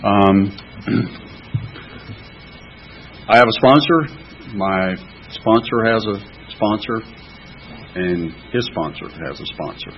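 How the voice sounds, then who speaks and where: Deep-voiced Southern man, fifties, halting speech, dull lo-fi recording, faint noise. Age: 50s. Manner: halting, many fillers and restarts. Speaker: AA speaker. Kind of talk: personal recovery talk.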